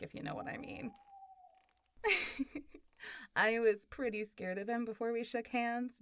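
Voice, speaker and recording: A woman playing a chatty, playful, good-humored female zombie in a casual, teasing, expressive first-person roleplay audio. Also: mid-range voice. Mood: amused storytelling. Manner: giggly, teasing, expressive, mildly sweary. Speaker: voice actor.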